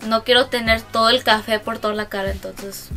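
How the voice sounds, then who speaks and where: A woman's voice: on the high side, fairly even intonation, fairly quick, creaky, sharp tone, soft background music. A young woman, a native English speaker, chatting through a casual makeup tutorial in a small room, hesitant and self-correcting as she mixes in English words. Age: young adult. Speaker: beauty vlogger.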